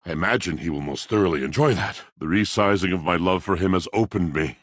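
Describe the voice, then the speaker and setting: An actor playing a grandiloquent, sentimental washing machine in a video game, speaking like a florid, old-fashioned theatrical gentleman. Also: low-pitched, quick pace, dry recording, studio quality. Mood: earnest, dramatic.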